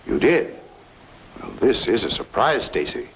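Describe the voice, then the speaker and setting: A man's voice: on the low side, very animated, unhurried, husky, exaggerated voice. An actor playing a polite, placating businessman in an old-time radio drama. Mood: concerned. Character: courteous, conciliatory businessman backing his friend. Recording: faintly noisy old radio recording.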